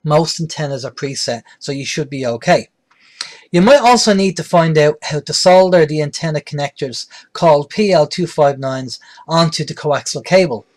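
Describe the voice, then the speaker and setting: A man with a slightly high voice presents a practical how-to guide. His delivery is plain-spoken and explanatory, like a host walking beginners through each step. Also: moderate pace. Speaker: presenter, radio tutorial host. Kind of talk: instructional tutorial narration.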